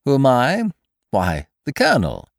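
A man voicing a smug, dapper gentleman villain in a storybook reading, teasing his victim with theatrical mock politeness. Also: mid-range voice, wildly swooping pitch, unhurried, creaky, no room sound, studio quality, voice pushed up, heavily acted. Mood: smug, playful.

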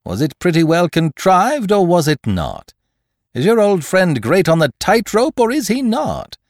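Guy putting on a smug playful thief from an old novel, mocking tone, pitch jumping all over, dry recording. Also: wildly swooping pitch, unhurried, no room sound, heavily acted. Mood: teasing. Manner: teasing, theatrical swings in pitch. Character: cocky male gentleman thief, mocking and playful. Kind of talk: audiobook character reading.

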